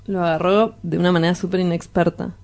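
A woman playing a low-voiced, sleazy Argentine bohemian lover. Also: level tone, fast talker, darkish tone, natural voice. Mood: smug, confiding. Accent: Argentine Rioplatense. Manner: colloquial, hesitant, wordy. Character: sleazy, pretentious, self-styled philosopher lover, evasive and boastful. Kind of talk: radio drama acting.

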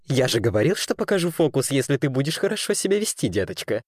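A woman's voice: extremely low pitch, big pitch swings, fast, darkish tone, no echo, studio quality, pitched below the performer's own voice, full character voice. A woman voicing an eccentric, gleeful and tender male weaver from a storybook, with quaint old-fashioned, coaxing speech. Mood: playful. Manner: archaic servile "-s" endings, coaxing endearments.

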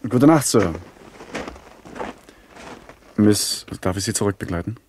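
An actor playing a polite, earnest young gentleman in a German radio play. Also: fairly low pitch, lively intonation, slow pace, slight background noise.

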